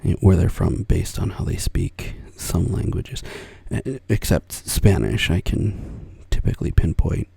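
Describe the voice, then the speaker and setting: A low, gravelly American man speaks softly and close, his voice very breathy and husky, often sinking to a whisper. The tone is dark and mellow, and the sound is dry with no room around it. He wanders from thought to thought, correcting himself with small soft chuckles. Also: unhurried, hardly any pauses, no room sound. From United States. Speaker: ASMR creator. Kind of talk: soft-spoken ASMR rambling chat.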